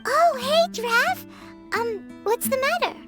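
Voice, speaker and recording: A tiny cheeky game fairy with an extremely high, squeaky voice. It swoops around excitedly yet takes its words slowly, with music playing behind. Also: female voice, exaggerated voice. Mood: alarmed, curious. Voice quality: squeaky, childlike. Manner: refers to self in third person. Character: tiny childlike female floating fairy companion, excitable, cheeky. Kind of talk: video game voice acting.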